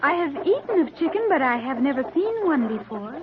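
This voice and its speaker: High lively girl voice, very formal n literal, curious, old radio sound kinda dull and hissy. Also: brisk. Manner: stilted, formal, precise, without contractions. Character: naive, literal-minded girl from an advanced undersea society. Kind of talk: old-time radio acting. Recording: band-limited, noisy old radio recording.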